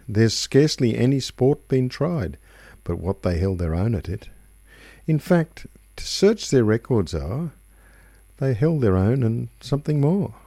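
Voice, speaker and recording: A man reciting a rhyming tale as a radio storyteller, in measured, rhythmic phrases. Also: fairly low pitch, warm tone, no echo. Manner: measured, rhythmic verse delivery. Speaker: radio reader. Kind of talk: expressive poetry recitation, storytelling.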